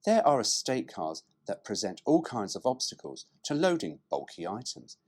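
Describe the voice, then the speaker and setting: A man's voice: lowish voice, sing-song, moderate pace, a little mellow. A man reading a brochure aloud as a presenter, dropping in cheeky, irreverent, sweary asides.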